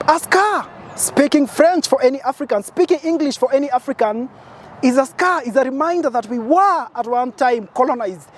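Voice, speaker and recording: A man's voice: extremely high pitch, slight background noise. A man from Kenya, giving an impassioned advocacy speech outdoors and building his points through emphatic, repetitive lists. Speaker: petitioner.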